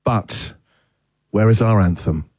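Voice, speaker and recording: A man hosting a radio show with excitable, emphatic, repetitive banter. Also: lowish voice, takes it slowly, soft background music. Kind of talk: animated breakfast radio banter.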